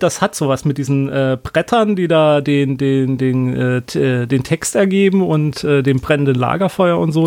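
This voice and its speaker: A man hosts a relaxed podcast chat in a slightly raised voice. He talks loosely, with frequent fillers and restarts. The sound is dry and close. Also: a little high, gravelly, dry recording. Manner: chatty, hesitant with many fillers. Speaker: podcast host. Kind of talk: casual podcast conversation.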